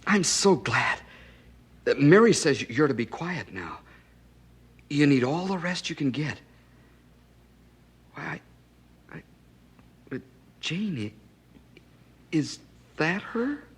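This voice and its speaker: A man's voice: a little high, big pitch swings, slow, pauses often, breathy edge, exaggerated voice. An actor playing an earnest, flustered young husband and expectant father in a period audio drama, stammering a little. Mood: joyful. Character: excitable, devoted young husband and expectant first-time father.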